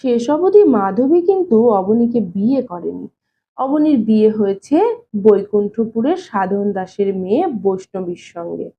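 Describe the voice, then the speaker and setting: A woman's voice: medium pitch, animated, unhurried, highs cut off, a little hiss or hum. A woman reading an audio story, performing its dialogue expressively.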